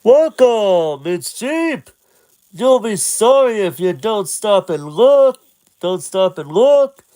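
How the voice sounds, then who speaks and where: A man's voice: high voice, big pitch swings, takes it slowly, voice pushed up, full character voice. A man doing a pushy street food vendor. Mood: hawking. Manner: loud hawking sales patter.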